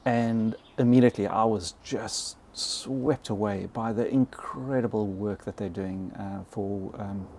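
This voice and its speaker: A man's voice: lowish voice, steady tone, measured pace, few pauses, a little mellow. A South African man in his fifties talking in a podcast interview, hesitant and often repeating words. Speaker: bison ranger, podcast guest. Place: small log cabin. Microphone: close podcast mic on boom arm.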